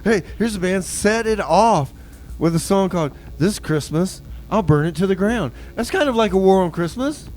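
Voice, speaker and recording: A man's voice: sits high, expressive, moderate pace, darkish tone. A man hosting a community radio show, chatting in a laid-back, amused, easygoing way as the DJ. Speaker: radio DJ and host. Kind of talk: casual radio banter.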